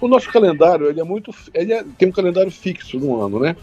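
A man chatting as an interview guest, husky and halting, often repeating words and adding tag questions. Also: sits high, sing-song, mellow. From Bragança, Pará, northern Brazil. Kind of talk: casual interview answers. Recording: dull lo-fi line, music behind.

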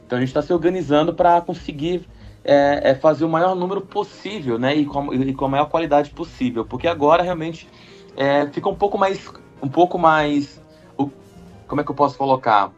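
A man, a double bassist, talking as a radio guest in a rambling, enthusiastic way with many fillers. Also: brisk, highs cut off, soft background music. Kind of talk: radio interview.

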